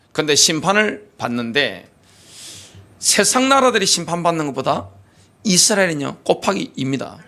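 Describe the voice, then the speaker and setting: A man's voice: high voice, pushed and bright. A male pastor preaching a sermon in a church, asking rhetorical questions and stressing his points emphatically. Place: church sanctuary.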